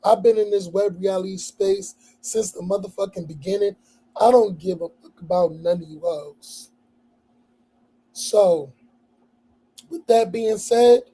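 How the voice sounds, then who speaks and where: A hoarse man talks to his livestream audience at an unhurried pace. His tone is dark and mellow, and he delivers blunt, profane call-outs, repeating himself for emphasis. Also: high-pitched, poor audio. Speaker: livestream host. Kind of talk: casual livestream talk.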